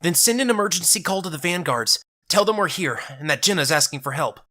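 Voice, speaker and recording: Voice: medium pitch, animated, a little fast, bright, forceful tone, voice pushed up. A performer voicing a fierce, defiant shape-shifting woman fighter with a brash, snarling delivery. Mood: urgent.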